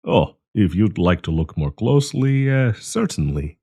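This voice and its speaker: A man's voice: lowish voice, big pitch swings, warm tone, breathy edge, full character voice. A man playing a kindly old professor with a hidden possessive menace, courtly and teasing in an intimate audio roleplay. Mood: teasing, fond. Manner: courtly, teasing, chuckling, deliberate.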